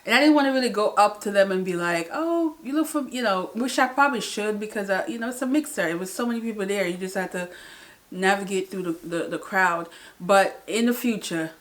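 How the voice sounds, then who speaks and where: A woman vlogger chatting casually about her day, with lots of fillers and restarts. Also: medium pitch. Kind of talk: casual vlog recap.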